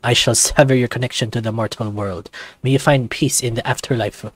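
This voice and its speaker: A man's voice: mid-range voice, fairly even intonation, a little fast, lightly acted. A man voicing a solemn lone tiger samurai warrior in a video game within a visual novel, speaking in grave, formal declarations.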